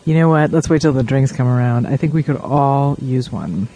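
Actress as a calm wry woman in a spy radio play, low dark husky voice. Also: moderate pace, poor audio. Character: Sally, dry, level-headed woman on a covert trip. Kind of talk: audio drama dialogue.

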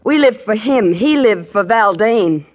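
A divorced mother in a vintage radio crime play, as a woman acts her out, polite on the surface and cutting underneath. Her voice sits at an ordinary pitch with a brittle, genteel edge. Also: expressive, unhurried, highs cut off, lightly acted. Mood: bitter. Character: bitter, cool divorced mother, middle-aged. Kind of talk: 1950s radio drama acting.

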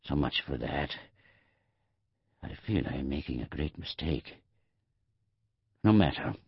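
A bedridden old man near death, narrating a literary audiobook. The voice sits extremely low and crackles with gravel and husk. He ruminates in short, halting phrases, wry and quibbling, on a slightly muffled, dry recording. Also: expressive, dry recording, heavily acted. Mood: wry, deliberating. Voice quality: gravelly, husky. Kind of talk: scripted audiobook narration, first-person literary monologue.

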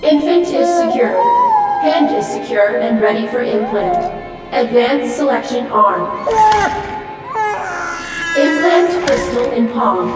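A commanding female technician in a science fiction radio play, calling out procedural orders. Her high, swooping voice comes from far off in heavy echo. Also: wildly swooping pitch, takes it slowly, long pauses, full character voice. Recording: very echoey, distant, loud music.

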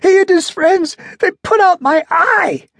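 A man's voice: extremely high pitch, normal speed, darkish tone, no echo, voice pushed up. A man voicing a terrified, drunk male ventriloquist who stammers and whimpers near tears.

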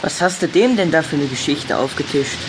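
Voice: mid-range voice, expressive, brisk, noisy background, natural voice. A performer playing a skeptical, grumbly boy in a children's audio drama, with a dry, doubting delivery.

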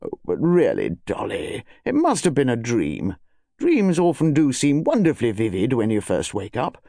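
A grumbling older English colonel , put on by a male reader, patronising toward his wife. The tone is mellow and dry, with animated intonation in a storybook style. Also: medium pitch, normal speed, vocal fry, no room sound, heavily acted. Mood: kindly, dismissive.

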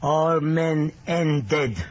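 Old guerrilla fighter, blunt and stoic, husky higher voice, dull old radio sound. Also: male voice, steady tone, drawn-out words. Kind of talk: vintage radio drama acting. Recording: muffled old radio drama recording.

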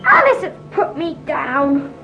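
Very high-pitched fussy talking cat. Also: female voice, very animated, takes it slowly, pushed and bright, highs cut off, soft background music, heavily acted. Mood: plaintive. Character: fussy talking house cat, Alice's pet. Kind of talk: audiobook drama acting.

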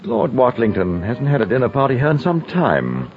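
An actor playing a courteous, proud middle-aged hotel director in a vintage radio drama, formal and brisk. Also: male voice, medium pitch, huge pitch swings, slight background noise. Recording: dull old radio recording, faint music.

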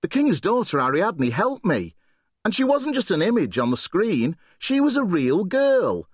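A man's voice: a little high, sing-song, normal speed, dry recording, pitched above the performer's own voice. A man voicing a frightened teenage boy who pants and babbles in panic. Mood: breathless awe. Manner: panicked, breathless. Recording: dry close recording.

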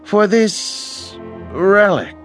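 An actor playing a prissy, obsequious travel agency clerk in a radio drama, with fussy, haughty politeness. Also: male voice, high voice, animated, drawn-out words, faint music behind, full character voice. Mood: disdainful.

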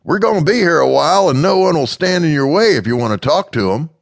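A man voicing a kindly, plain-spoken rural man with a folksy, gently blunt way of talking. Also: on the high side, animated, fast talker, vocal fry, dry recording, pitched above the performer's own voice. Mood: coaxing.

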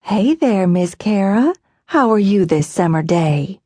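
A woman voicing a kind, gentle motherly woman who speaks soothingly. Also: medium pitch, animated, measured pace, no room sound.

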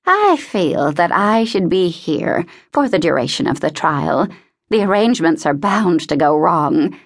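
A woman's voice: on the low side, very animated, measured pace, exaggerated voice. A woman voicing a crotchety, bossy old woman in a novel, speaking crossly, firmly and with emphasis.

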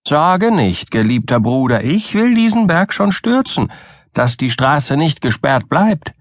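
A bold young fairy tale hero of giant strength, played by a male narrator. He speaks earnestly and reassuringly in a declamatory, animated storybook style, with the voice lifted well above a normal male pitch. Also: measured pace, no echo, clean studio sound. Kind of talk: storybook character voice.

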